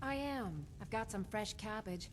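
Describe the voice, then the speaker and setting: Woman playing a dutiful plain-spoken warrior housecarl in a fantasy game, mid pitch, music under it. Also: lively intonation, a little slow, close to an everyday voice. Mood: absent-minded. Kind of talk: fantasy video game dialogue.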